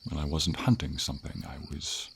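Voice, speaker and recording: A man voicing a friendly, noble silver dragon in an ASMR fantasy roleplay, speaking in an unhurried, thoughtful and slightly formal way with pauses. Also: extremely low pitch, steady tone, gravelly, slight background noise. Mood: calm, matter-of-fact. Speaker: voice actor. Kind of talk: ASMR fantasy roleplay, slow intimate storytelling. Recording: ambient nature sounds, crickets, light rain.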